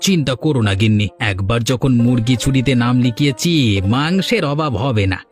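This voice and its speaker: A man voicing a sly, boastful village thief and husband in an animated folk tale. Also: mid-range voice, a little fast, soft background music, close to an everyday voice.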